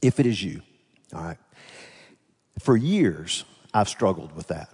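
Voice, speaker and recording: A man's voice: deep voice, big pitch swings, a little slow, a little mellow, husky. A man preaching a sermon in a conversational manner, putting rhetorical questions to his congregation. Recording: reverberant hall sound.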